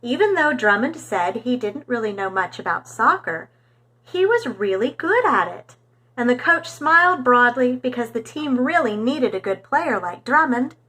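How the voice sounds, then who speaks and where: A woman reading a storybook aloud for young children in an expressive, animated storytelling manner. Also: a little high, normal speed.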